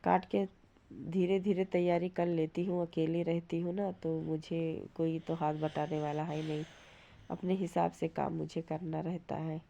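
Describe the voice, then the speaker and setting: A woman with a hoarse voice from a cold, giving a plain, rambling, casual voice-over for a home cooking vlog. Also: sits low, flat delivery, unhurried, dark tone. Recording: dull lo-fi sound, light background noise.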